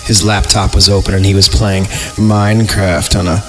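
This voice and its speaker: A man's voice: low-pitched, level tone, normal speed, faint music behind. A man reading a first-person horror story aloud on a podcast, with joking asides. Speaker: podcast co-reader.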